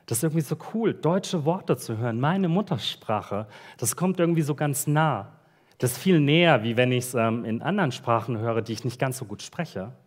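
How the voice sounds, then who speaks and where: Casual, animated German pastor preaching. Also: male voice, mid-range voice, moderate pace. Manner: lively, conversational preaching with casual slang. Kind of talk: sermon. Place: church service.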